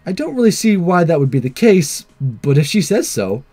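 A man reads a visual novel aloud as a let's play host. His delivery is lively and casual, slipping in commentary, with game music behind him. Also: on the high side, moderate pace.

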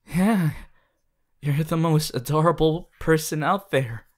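A woman voicing a sweet, bashful, excitable teenage anime boy in an affectionate, halting ASMR roleplay addressed to the listener. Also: low voice. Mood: excited, affectionate. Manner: halting, affectionate, with small hesitations.

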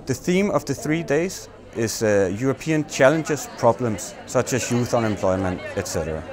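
A man in his thirties, a teacher, explaining calmly in an interview filmed in an auditorium. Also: medium pitch, normal speed, a crowd in the background. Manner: calm, explanatory. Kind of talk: interview statement. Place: auditorium with red seats.

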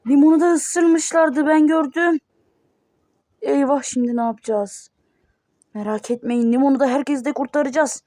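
A woman voicing panicky kid characters in a homemade cartoon, with excitable exclamations. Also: sits high, moderate pace, dry recording. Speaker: cartoon voice performer.

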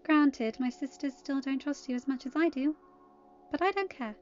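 A woman playing a gentle young storybook princess with a high voice. She is earnest, loyal and dutiful, pleading from the heart on a slightly muffled recording. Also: moderate pace, natural voice. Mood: affectionate. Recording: muffled lo-fi audio with music.

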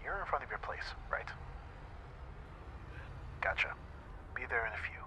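A man's voice: medium pitch, sing-song, moderate pace, background noise, lightly acted. A young man acting as an easygoing, teasing best friend in a casual, intimate ASMR roleplay. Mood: easygoing, caring.

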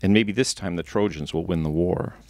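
Teasing loving mom, matter-of-fact, read by a low-voiced guy, dry sound. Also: a little fast, a little mellow, no echo. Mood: wry. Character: wry, loving mother of a teen girl.

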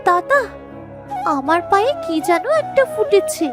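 A woman's voice: very high voice, expressive, a little slow, music in the background. A woman voicing a hungry little village girl, a younger sister in a cartoon, with a childlike, plaintive manner. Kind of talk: cartoon voice acting.

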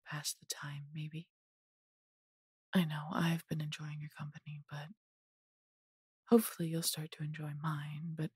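Female dragon, acted by a woman, very breathy and husky, doting, possessive, coaxing, theatrical, fantasy audio roleplay, dry close mic. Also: low-pitched, darkish tone, no room sound. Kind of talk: intimate fantasy audio roleplay, addressing the listener.

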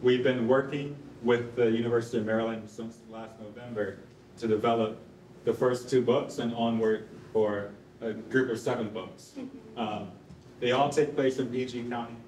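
A man's voice: mid-range voice, fairly even intonation, moderate pace, a little mellow. Casual young man presenting, distant and echoey. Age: young adult. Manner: casual, off the cuff. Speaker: presenter and artist. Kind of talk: loose semi-scripted live presentation. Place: large concrete rehearsal hall. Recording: echoey hall, distant, faint background noise.

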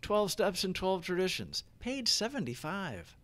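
A man's voice: high voice, wildly swooping pitch, a little slow, a bit bright. A man hosting a recovery channel, reading prayers and daily reflections as scripted devotional narration.

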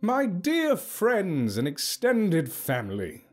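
Storybook elder, played by a male narrator: grand and cheerful, raised pitch, slow ceremonial oratory, music underneath. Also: very animated, darkish tone. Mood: solemn, grateful. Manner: slow, oratorical, emphatic delivery. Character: grand, cheerful old leader addressing his gathered tribe.

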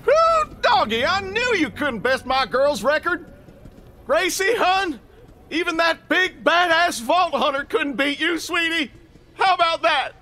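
A man's voice: very high-pitched, big pitch swings, unhurried, sharp tone, heavily acted. A man playing a folksy, exuberant bereaved father, hosting a party in a video game. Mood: triumphant. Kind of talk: video game character acting.

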